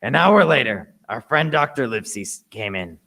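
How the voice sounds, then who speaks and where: A man's voice: medium pitch, sing-song, moderate pace, vocal fry, sharp tone, heavily acted. A man playing an intent narrator who recounts his boyhood adventure in dramatic scripted radio play narration. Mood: tense. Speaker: story narrator.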